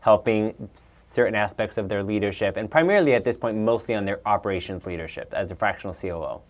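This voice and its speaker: A man's voice: lowish voice, fast talker. A man in his thirties, raised in Peru and Bolivia, talking casually and with enthusiasm as an interview guest, often restarting his sentences. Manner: upbeat, enthusiastic, with frequent restarts. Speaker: guest, executive coach.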